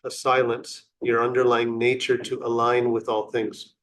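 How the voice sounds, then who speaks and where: A man leading a guided meditation in an unhurried, contemplative and instructional way, with long pauses between short phrases. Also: medium pitch, completely flat delivery, a bit bright.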